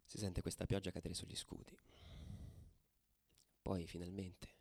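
A man acting a fervent football ultra in a theatrical monologue, telling his story in an intense, deliberate way with repeated phrases. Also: sits low, level tone, vocal fry, heavy background noise. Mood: hushed, brooding. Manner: intense, deliberate, repeats phrases, swings between hushed and shouted. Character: fervent male football ultra recounting riots and away trips. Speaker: stage actor in a radio theatre piece. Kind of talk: dramatic first-person theatrical monologue.

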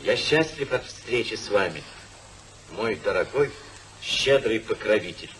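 An actor playing a frank, impulsive young rake in a 1950s radio comedy, earnest and theatrically expressive. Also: male voice, fairly low pitch, unhurried. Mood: contrite. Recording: faintly noisy 1950s radio recording.

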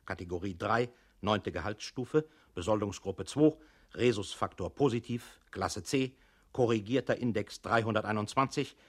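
An actor playing a dry bureaucratic narrator in a radio play, speaking in a formal, measured, officious way. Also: male voice, fairly low pitch, natural voice.

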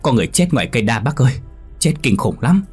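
A man's voice: medium pitch, sing-song, a little mellow, slightly rough, soft background music, pitched above the performer's own voice. A man voicing a nervous young village lad who blurts out and stammers. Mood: scared. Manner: hurried, stammering.

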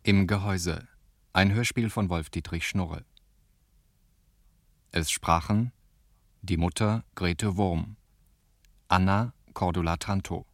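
A man's voice: low-pitched, takes it slowly, long pauses, dry recording, clean studio sound. A man reading radio play credits as a scripted announcement.

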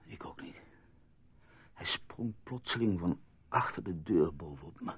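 An actor playing a guarded, evasive journalist and defensive husband in a radio play. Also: male voice, deep voice, lively intonation, unhurried, slightly rough, in a whisper, full character voice. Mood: shaken, wary. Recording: muffled, phone-like lo-fi radio play.

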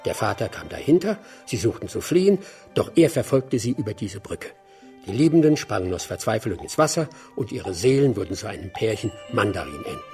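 Lively, gravelly investigator. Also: male voice, on the low side, fairly quick, faint music behind. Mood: curious, storytelling. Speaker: actor playing an aide. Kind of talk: German radio crime drama acting.

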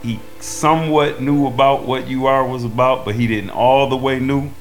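A man's voice: mid-range voice, steady tone, normal speed, faint music behind, poor audio. A man giving a casual interview in a streetwise, colloquial way.

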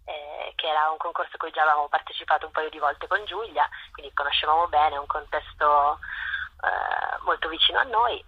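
A woman's voice: deep voice, fairly quick, breathy edge. A woman being interviewed as a theatre director, explaining casually and often checking with little tag questions, over a phone call. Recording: dull, roomy radio phone-in sound.

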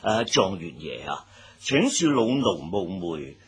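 An actor playing a humble elderly steward in a Cantonese radio drama, obsequious, with a breathy, husky voice. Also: male voice, low voice, sing-song, full character voice. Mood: humble, deferential. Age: older. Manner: slow, obsequious. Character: humble elderly male steward of a grand household.

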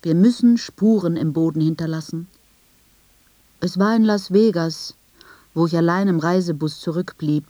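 A woman reads scripted, first-person literary narration in a measured, reflective manner with deliberate phrasing. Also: lowish voice, warm tone, dry recording. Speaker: narrator of a literary radio piece.

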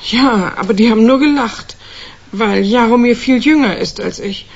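An anxious, plain woman of around sixty in a radio crime play. The voice is raspy and creaky, earnest and hesitant, correcting itself, on a slightly dull recording. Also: a little high, normal speed. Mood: worried, earnest. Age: older.

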